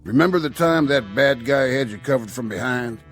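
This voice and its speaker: An actor playing a sly, menacing Old West outlaw in an audio drama, with a gravelly American Western drawl and a folksy, needling way of talking. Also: male voice, mid-range voice, moderate pace, lightly acted. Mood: mocking. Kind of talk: radio drama acting. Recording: music under the dialogue.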